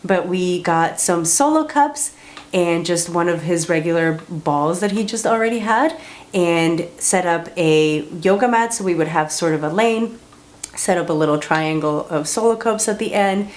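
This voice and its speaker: A woman's voice: on the low side, moderate pace. A woman from Colombia talking casually to camera as a parenting vlogger, upbeat and chatty, with plenty of filler words.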